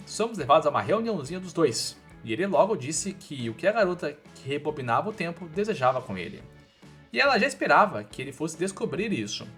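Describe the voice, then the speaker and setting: Brazilian guy doing comic recap narration, slightly high voice, really lively pitch, music playing behind.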